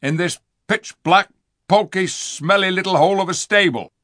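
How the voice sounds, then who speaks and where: A man voicing a surly, gruff male dwarf who scolds and sneers loudly in a storybook reading. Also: sits high, normal speed, dry recording, clean studio sound, pitched above the performer's own voice.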